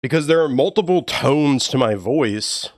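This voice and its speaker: A young man with a low, even voice, chatting casually and swearing freely with his live stream chat. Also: unhurried, dry recording, clean studio sound. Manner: laid-back, profane, joking with chat.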